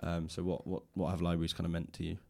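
Guy, low voice, interviewing someone, chatty and thoughtful, kinda hedges as he goes. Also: monotone, fast talker, vocal fry, darkish tone. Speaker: podcast host and interviewer. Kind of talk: relaxed conversational interview. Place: indoors, in a library.

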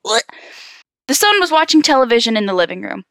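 A woman with a high, bright voice reads stories aloud as a podcast host. Her delivery is very lively in pitch and drops into slangy, sarcastic asides. The sound is close and dry. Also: quick pace, dry recording. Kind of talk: story read-aloud mixed with casual banter.

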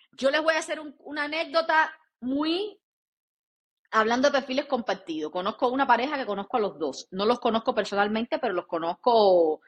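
A woman with a Cuban accent co-hosting a casual chat, talking emphatically and addressing listeners directly. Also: fairly high pitch. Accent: Cuban Spanish. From Cuba. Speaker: podcast co-host.

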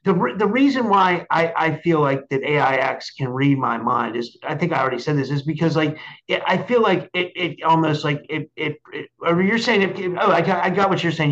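Male podcast host, slightly high pitch, chatty and meandering, repeats himself, dull recording. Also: fairly bright tone. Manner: rambling, repetitive, often checks he is understood. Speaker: podcast host interviewing a guest. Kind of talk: casual chat.

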